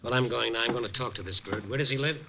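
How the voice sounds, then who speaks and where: A steady male reporter from 1950s radio drama. His voice sits at an ordinary male pitch. He talks and narrates briskly, with dry wit and an unruffled manner. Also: creaky, slight background noise. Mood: wry, brisk. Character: wry, steady male newspaper reporter of nighttime city. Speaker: lead character and narrator.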